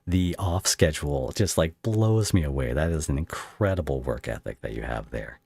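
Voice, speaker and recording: A man hosting a casual interview podcast, effusive and halting as he thinks aloud. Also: a bass voice, lively intonation, gravelly, darkish tone, husky, dry recording. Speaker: podcast host interviewing a creator.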